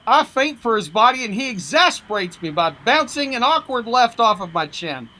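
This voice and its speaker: A man's voice: very high voice, sing-song, normal speed, bright, forceful tone, heavily acted. A man reading a pulp humor story as a brash, wisecracking old-time boxing manager, punchy and declamatory. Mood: brash, bemused.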